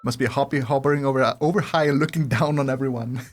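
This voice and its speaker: A woman's voice: very deep voice, rapid-fire, soft background music. A woman giving casual game commentary as a streamer, playful, giggly and sweary. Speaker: gaming streamer.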